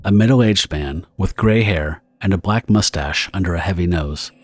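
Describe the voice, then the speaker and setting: A man's voice: deep voice, moderate pace, breathy edge. A man narrating a dramatic story from a script.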